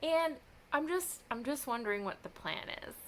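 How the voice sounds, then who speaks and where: A woman reading vlogger chatting casually and expressively, with halting thoughts that trail off. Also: sits high, lively intonation, a little hiss or hum. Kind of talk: casual reaction vlog chat.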